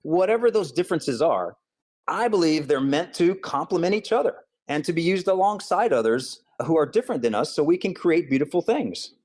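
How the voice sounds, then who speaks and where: Guy from hawaii, author and counselor, calm earnest interview answers. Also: on the high side, brisk. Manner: earnest, steady explaining.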